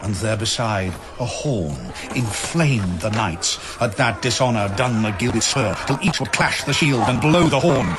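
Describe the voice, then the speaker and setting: A man narrating an archaic verse tale in a solemn, measured, dramatic storytelling style. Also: fairly low pitch, animated, noisy background.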